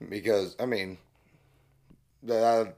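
A young man co-hosting a casual podcast, hoarse from losing his voice, easygoing and agreeable. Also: fairly low pitch, fairly even intonation, extremely slow, darkish tone. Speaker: podcast co-host. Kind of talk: casual chat.

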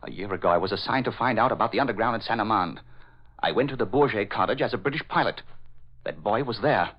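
Low-voiced scheming Nazi spy played by a man, urgent and commanding, in muffled vintage radio melodrama. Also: fast talker, lightly acted. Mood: alarmed, tense. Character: scheming menacing male Gestapo agent posing as an Englishman. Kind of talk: 1940s radio drama acting.

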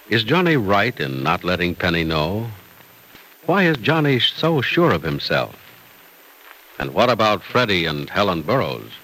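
Animated bright-voiced male radio announcer. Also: deep voice, rough recording. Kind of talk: scripted 1940s radio announcing and sales pitch.

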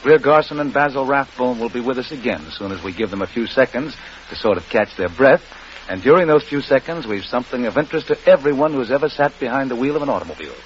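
A man presenting a 1940s radio drama program from a script, with formal, measured phrasing. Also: mid-range voice, expressive. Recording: muffled, faintly noisy vintage radio broadcast.

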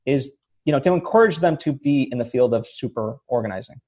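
A male podcast host who asks his questions haltingly, with plenty of fillers and restarts. His voice is mellow and warm. The audio is dull and lo-fi. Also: medium pitch, animated. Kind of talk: interview questions.

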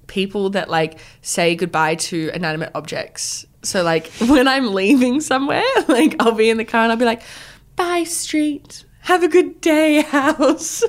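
A woman's voice: a little high, a little slow. Young Australian woman, jokey and self-deprecating. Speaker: podcast co-host. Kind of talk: casual chat.

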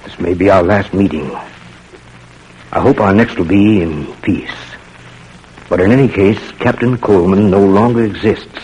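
An actor playing a grave, commanding Confederate spy captain in an old radio drama, speaking in a measured, authoritative, solemn way. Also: male voice, low voice, darkish tone, close to an everyday voice, rough recording. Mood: grave, fatherly. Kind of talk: 1940s radio drama acting. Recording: old radio recording, faint noise.

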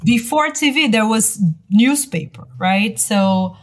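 A woman from Brazil speaks in a fairly high voice as a podcast co-host. Her delivery is casual and conversational, with an inquisitive, questioning way of making points. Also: lively intonation, slow. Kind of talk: casual discussion.

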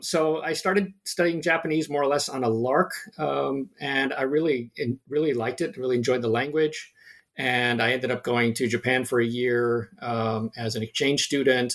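An older man with an American accent speaks as an interview guest. His voice sits at a middling pitch. He talks conversationally in a halting way, hesitating and correcting himself often. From United States, New Jersey. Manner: halting, hesitant phrasing with frequent self-corrections. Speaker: interview guest, history professor. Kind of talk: conversational biographical interview answer.

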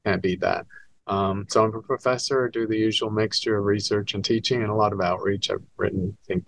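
Older scottish-born guy explaining science, lowish voice, dead dry sound. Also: creaky, no room sound, poor audio. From Scotland. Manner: relaxed, wry, explanatory. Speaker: astronomy professor, guest author. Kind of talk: conversational interview answers.

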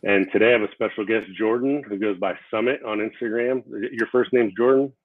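A man hosting a casual interview show, talking in a relaxed, rambling way with many fillers; Korean was his first language. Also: fairly low pitch, brisk, a little hiss or hum. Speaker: podcast host. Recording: tinny phone-like line.

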